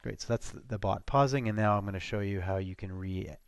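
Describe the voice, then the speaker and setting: Low-voiced man with a creaky edge. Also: no echo. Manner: casual, halting, thinking aloud. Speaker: software demo presenter. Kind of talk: informal screencast product walkthrough.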